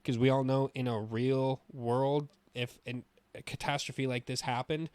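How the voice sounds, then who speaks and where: Male gaming podcast co-host, casual and opinionated, loose with swearing, average pitch, very dry sound. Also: flat delivery, unhurried, a little mellow, clear, resonant tone, dry recording.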